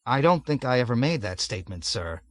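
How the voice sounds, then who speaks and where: A man voicing a timid young cadet who answers politely and hesitantly, often quiet and low. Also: sing-song, fairly quick, no room sound, close to an everyday voice. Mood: meek, flustered. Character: timid young male air cadet under interrogation.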